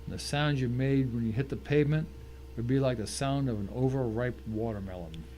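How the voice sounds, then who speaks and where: A man's voice: mid-range voice, brisk, mellow, slight background noise, lightly acted. A man playing a tense, hard-bitten thriller narrator who tells his own ordeal in dramatic scripted first person. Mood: tense, grim.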